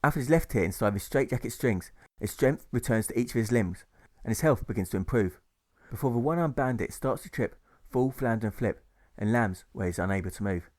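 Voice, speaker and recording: A man's voice: medium pitch, animated, brisk, a little mellow. A man reading a rhyming audiobook story in a rhythmic, emphatic storytelling manner. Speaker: author reading own audiobook. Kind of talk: scripted rhyming narration.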